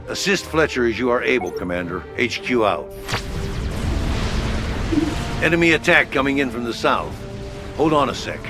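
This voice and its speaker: An actor playing a brisk, no-nonsense military headquarters officer giving clipped orders in a video game. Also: male voice, medium pitch. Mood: businesslike. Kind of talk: video game mission briefing.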